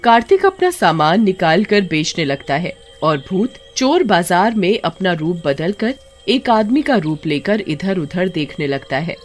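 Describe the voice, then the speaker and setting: A storybook narrator with a mid-pitched voice that rises and falls widely for drama. The telling is scripted and lively, and faint music plays underneath. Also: unhurried.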